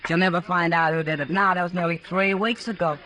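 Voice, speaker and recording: A woman's voice: lowish voice, steady tone, fast, fairly bright tone, close to an everyday voice. An actress playing a frank, worldly, caring woman of the Old West in a 1950s radio western, direct and knowing. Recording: noisy 1950s radio recording.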